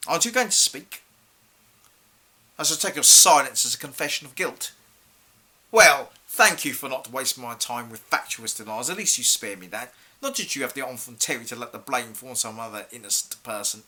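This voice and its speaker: Sharp, exasperated male head teacher, played by an actor. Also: mid-range voice, animated, a little fast, slightly rough, heavily acted. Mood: accusing, angry, then cold, scornful. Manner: accusing, formal, clipped rhetorical questions. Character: stern, exasperated head teacher scolding a silent pupil. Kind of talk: theatrical monologue for an acting showreel.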